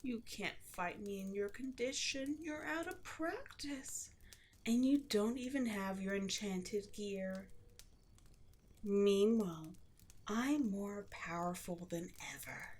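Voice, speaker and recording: A woman playing an imperious, seductive demon queen in a roleplay audio drama, slow and theatrical, often slipping into a breathy whisper. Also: mid-range voice, a little mellow, a little hiss or hum, natural voice. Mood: gloating, possessive.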